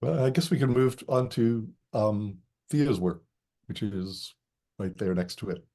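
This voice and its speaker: Low, dark male moderator. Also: animated, measured pace.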